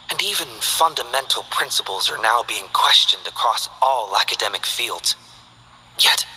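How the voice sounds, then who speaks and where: An actor playing a calm, reflective male scholar who speaks in a measured, precise way in game character voice lines. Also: mid-range voice, slight background noise, rough recording. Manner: measured, thoughtful, precise delivery.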